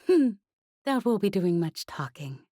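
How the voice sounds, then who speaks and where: A woman's voice: medium pitch, big pitch swings, unhurried, warm tone, dry recording, studio quality, pitched above the performer's own voice. A woman voicing a brash, flirtatious female smuggler pilot in an audiobook, with clipped, casual, teasing phrasing. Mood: teasing, suggestive.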